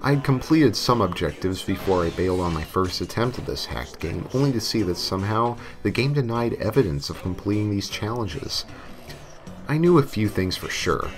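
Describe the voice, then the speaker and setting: Low-voiced man narrating over music. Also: animated, normal speed. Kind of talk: scripted story narration. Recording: music playing behind the voice. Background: novelty songs and game music.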